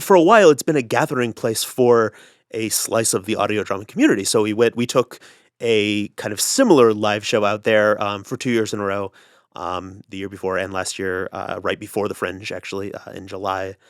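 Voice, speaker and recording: A man with a lowish voice gives thoughtful interview answers. He moves haltingly, searching for the right word, and the recording is dry with little room sound. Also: no echo. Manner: halting, thoughtful, feels for words. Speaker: audio drama creator being interviewed. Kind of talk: casual interview.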